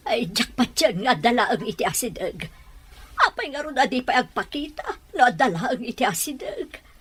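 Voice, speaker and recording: Woman acting husky, despairing mother. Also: fairly high pitch, lively intonation, moderate pace, a bit bright. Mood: despairing, fretful. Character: despairing mother of a missing child, married long. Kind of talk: Ilocano audio drama acting.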